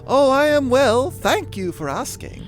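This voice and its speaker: An actor playing a gleeful, wicked puppet-master spirit in a fantasy audio drama, with mocking, florid, drawn-out phrasing. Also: high voice, big pitch swings, faint music behind. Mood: smug, mocking.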